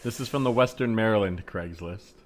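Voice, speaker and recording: A man's voice: on the low side, fairly quick. An American man co-hosting a comedy podcast, reading posts aloud and commenting in casual chat. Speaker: podcast co-host and improviser. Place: remote podcast recording.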